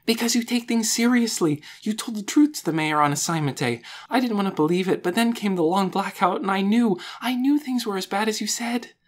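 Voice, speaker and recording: A woman voicing an excitable, insistent and earnest young girl in a children's audiobook, eager and emphatic. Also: on the low side, pitched above the performer's own voice. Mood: excited, relieved. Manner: eager, emphatic, words rushing out. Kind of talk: children's audiobook character dialogue.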